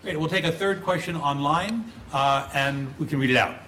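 A man's voice: fairly high pitch, moderate pace, bright, forceful tone, a little hiss or hum. A man moderating a panel discussion, politely directing questions to the speakers. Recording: roomy hall audio.

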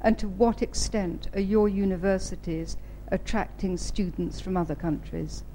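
A woman's voice: medium pitch, normal speed, dark tone, a little hiss or hum, rough recording. A woman in the audience asking a question in a careful, hesitant way. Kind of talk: question from the floor.

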